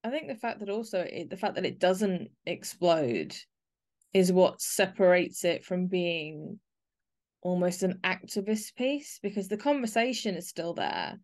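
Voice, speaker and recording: A woman's voice: fairly low pitch, animated, unhurried, a little mellow. A woman speaking as the host of an arts podcast, interviewing and presenting.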